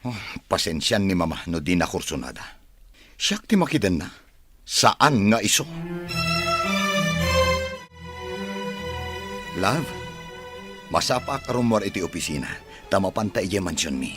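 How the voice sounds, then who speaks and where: A man's voice: low voice, big pitch swings, moderate pace, a bit bright, faint music behind. A man playing a headstrong, lovestruck son of a wealthy family in a radio drama. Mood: firm, affectionate.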